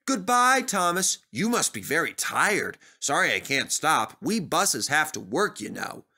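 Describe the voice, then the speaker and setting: Guy doing a cheery teasing bus for a kids story, breezy n chummy, lively bright voice. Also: fairly high pitch, a little slow, husky, heavily acted. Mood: smug teasing. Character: jovial, teasing male bus, a good-natured rival. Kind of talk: children's story fan dub.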